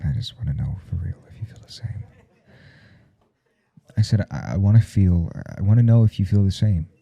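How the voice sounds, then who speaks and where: A man playing a blunt, intimate professor in an ASMR audio roleplay, speaking in a halting, confiding way with a teasing, domineering edge. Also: animated, lo-fi sound, full character voice. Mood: tense, insistent. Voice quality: low, gravelly, soft and dark. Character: adult male professor, intimate, callous, earnest, teasingly domineering.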